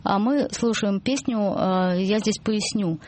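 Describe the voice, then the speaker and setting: Woman radio host, mid-pitched, easygoing delivery with frequent pauses and fillers. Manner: relaxed, hesitant fillers between phrases. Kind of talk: radio music talk, reading aloud.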